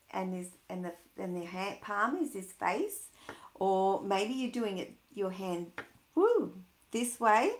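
A woman in her sixties reading and chatting to young children in a sing-song, questioning way. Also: medium pitch. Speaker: storyteller for children. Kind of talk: read-aloud and chat addressed to young children. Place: small indoor room at home. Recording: roomy, echoey home webcam sound.

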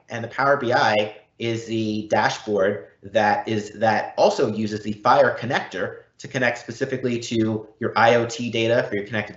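A man with a fairly low voice explains technical material as the presenter of an online Q&A. The audio is roomy and somewhat dull, like call audio from a reverberant room. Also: fairly bright tone. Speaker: presenter answering audience questions. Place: indoor room, live online event.

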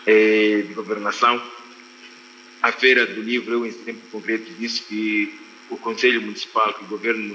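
Male panel guest, thirties, Mozambican accent. Low, piercing voice, rephrases deliberately, noisy video-call sound. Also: sharp tone, long reverb tail. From Quelimane, Mozambique. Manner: deliberate, repeats and rephrases phrases, often adds "né" as a tag. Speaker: guest writer on a literary panel. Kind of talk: panel discussion, extempore opinion.

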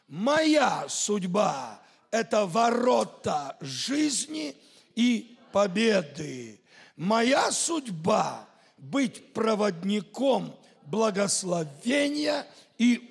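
A man preaching a sermon, leading his congregation in slow, emphatic declarations. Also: high-pitched, gravelly, slightly rough. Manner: slow, emphatic proclaiming, leading the crowd in chanted lines. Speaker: pastor. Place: large church hall. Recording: echoey church hall livestream.

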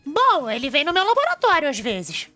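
Woman voicing a very high-pitched Brazilian cartoon fox boy. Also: wildly swooping pitch, moderate pace, pushed and bright, soft background music, full character voice. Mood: friendly. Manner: eager, explanatory. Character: cheerful, brainy young male cartoon fox presenter. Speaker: show presenter. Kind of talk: fan-dubbed cartoon web show.

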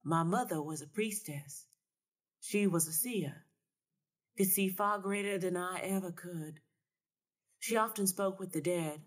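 A woman's voice: lowish voice, unhurried, long pauses. A woman voicing a knowing Southern woman seer in a story, folksy, solemn and assured.

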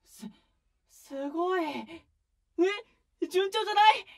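A man playing an excitable, earnest young male idol in a voice drama, with a boyish, eager delivery. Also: very high voice, slow, vocal fry, full character voice. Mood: excited, eager. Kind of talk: Japanese voice drama acting.

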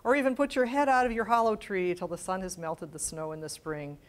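An older woman giving a public lecture, reading letters aloud in a measured, precise way and adding informal asides. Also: medium pitch, steady tone. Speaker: guest lecturer. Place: medium-sized library meeting room with seated audience. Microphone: lapel mic.

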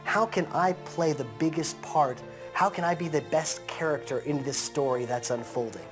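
A man in his thirties, raised in the United States, speaking earnestly in a sit-down interview on a living-room couch and slowing down to stress his points. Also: a little high, over music.

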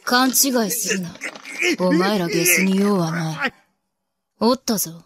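A woman's voice: on the low side, expressive, measured pace, a crowd in the background. A woman voicing a ruthless, imperious young princess and wrestler in an anime, speaking in haughty, archaic commands. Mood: cold, imperious. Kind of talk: anime voice acting.

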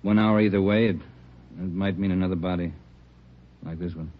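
An actor playing a brisk, commanding police chief, clipped and authoritative, in old radio drama style on a noisy vintage recording. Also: male voice, sits low, fairly even intonation, muffled recording, close to an everyday voice. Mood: urgent. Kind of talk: 1940s-50s radio acting.

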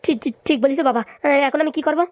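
A woman acts out a cowardly grown son in a comic cartoon, in a high, dry voice. He rattles along extremely fast, stammering and pleading. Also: steady tone, a little mellow, dry recording, natural voice. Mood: nervous.

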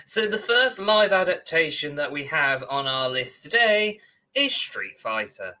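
Bright, forceful young man, wryly opinionated. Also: a little high, very animated, a little slow. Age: young adult. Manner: wry, opinionated ranting. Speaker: podcast co-host. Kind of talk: casual film critique. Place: small room at home, on video call.

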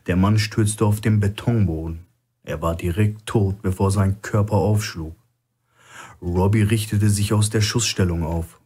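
A man's voice: low-pitched, mellow, breathy edge. A man reading a scripted thriller as an audiobook narrator.

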